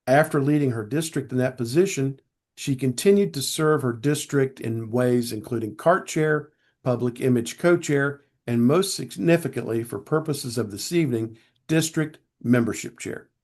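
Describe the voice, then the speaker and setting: A man hosting an online webinar, speaking in a measured, formal, deliberate way over a video call mic, his voice a little strained as he loses it. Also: medium pitch, darkish tone. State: losing his voice. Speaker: webinar host. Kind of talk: scripted webinar introduction.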